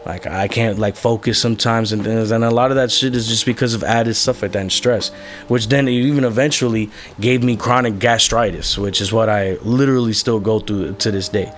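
A man's voice: on the low side, fairly even intonation, moderate pace, faint music behind. A young man, a musician, talking candidly about his life in a rambling way, with many fillers and casual swearing. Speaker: musician being interviewed. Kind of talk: candid personal conversation.

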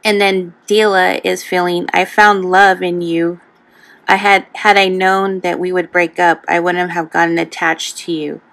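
An earnest woman delivering a prepared review as a listener's voice note. She speaks in a measured way with a slightly low voice, recorded dry. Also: flat delivery, creaky, fairly bright tone, no room sound. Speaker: podcast listener sending a voice note. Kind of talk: prepared review, partly reading out lyrics.

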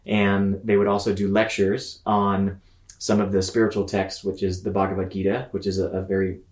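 A man's voice: deep voice, little pitch movement, normal speed. A man in his thirties from Mississippi, telling his story conversationally as an interview guest, in a reflective, explanatory way. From Mississippi, United States. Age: 30s. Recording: roomy, echoing sound.

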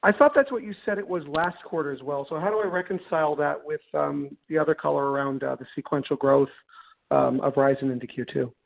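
A man's voice: on the high side, fairly even intonation, a little fast, vocal fry. A man speaking as a financial analyst, putting informal questions on an earnings call over a telephone line. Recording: tinny phone line.

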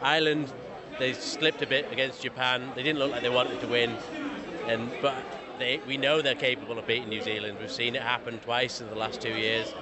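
A man with an English accent talks eagerly and at length. His voice has a middling pitch. A loud outdoor crowd carries on behind him. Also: flat delivery, a little fast. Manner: enthusiastic, rambling. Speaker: rugby fan interviewed. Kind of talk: casual chat.

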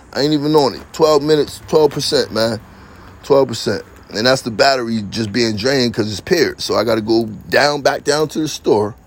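Rambling streetwise man, high voice. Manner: streetwise, rambling, repetitive, boastful. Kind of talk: rambling monologue to camera. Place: outdoors in his yard.

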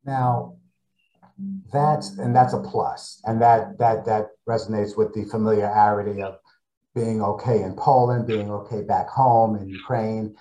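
A man's voice: on the low side, fairly even intonation, unhurried, mellow, roomy sound, poor audio. A man hosting an online interview, reacting with animation and asking long, winding questions. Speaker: interview host.

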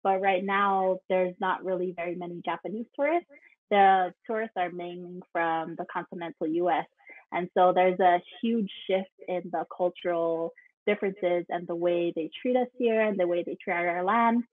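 A woman's voice: lowish voice, steady tone, moderate pace, no echo. A woman from Hawaii chatting casually as a podcast guest, heard over a thin, phone-like connection. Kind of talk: casual interview chat. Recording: thin phone-like call audio.

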